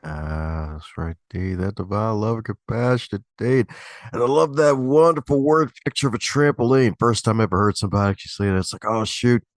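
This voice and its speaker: A man's voice: lowish voice, brisk, no room sound, clean studio sound. A man hosting a podcast interview, enthusiastic and given to repeating affirmations. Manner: enthusiastic, repeats "that's right, indeed". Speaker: podcast host.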